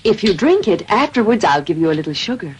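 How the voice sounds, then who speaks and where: A woman voicing a kind but firm fairy in a classic cartoon, gentle and coaxing. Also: mid-range voice, very animated, fast talker, natural voice. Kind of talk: classic cartoon dub acting. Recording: clear background noise.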